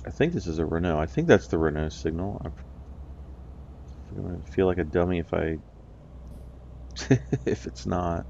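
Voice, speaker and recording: A man's voice: low voice, expressive, normal speed, gravelly, long pauses, darkish tone, dull audio, slight background noise. A man from the US, stuffy and nasal from a cold, chatting in a rambling way with lots of ums as he plays a driving game. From United States. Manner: rambling, with many ums and uhs. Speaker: let's play host. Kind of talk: casual gameplay commentary.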